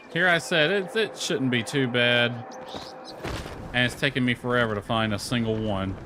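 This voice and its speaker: A man's voice: mid-range voice, unhurried, pauses often. A man thinking aloud in casual live game commentary, muttering to himself with mild exasperation. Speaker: game streamer. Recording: clearly noisy background.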